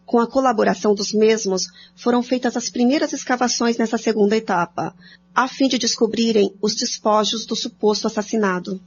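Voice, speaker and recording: A woman's voice: fairly high pitch, steady tone, a little fast, darkish tone, slight background noise. A woman with a Brazilian accent narrating a radio drama, telling the scripted story directly to listeners. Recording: dull lo-fi, faint music underneath.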